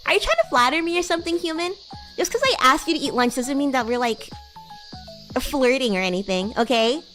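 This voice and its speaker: A woman voicing a bratty, flustered demon schoolgirl who is secretly fond, teasing and halting, in an anime-like livestream roleplay. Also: high-pitched, lively intonation, fairly bright tone, faint music behind. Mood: flustered, haughty. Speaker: VTuber streamer.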